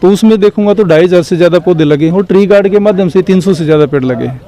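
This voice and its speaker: A man's voice: sits high, rapid-fire, dark tone. A young man, a village head answering interview questions earnestly and explaining with examples.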